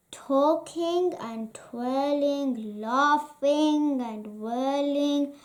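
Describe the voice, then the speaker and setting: A woman reading a children's picture book aloud as a bedtime story, in an expressive sing-song manner. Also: sits high. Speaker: storybook reader.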